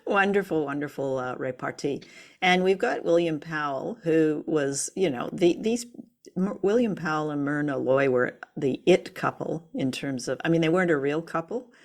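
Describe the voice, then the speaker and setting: A woman with a low-pitched voice talks as a co-host in relaxed conversation about old radio. She is chatty and keen, speaking off the cuff. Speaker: podcast co-host. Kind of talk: casual discussion of old-time radio.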